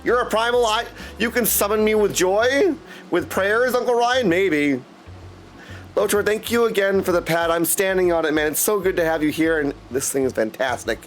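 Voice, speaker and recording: A man's voice: sits high, normal speed, slight background noise. An exuberant, slangy man chatting casually with viewers as he livestreams and comments on a game. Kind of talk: casual live stream chat and game commentary. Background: game music.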